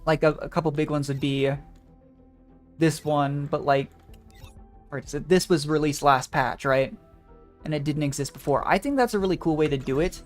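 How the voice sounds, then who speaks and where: Female game streamer: casual, musing, thinking out loud, faint music behind. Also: low voice, normal speed, long pauses. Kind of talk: casual live game commentary.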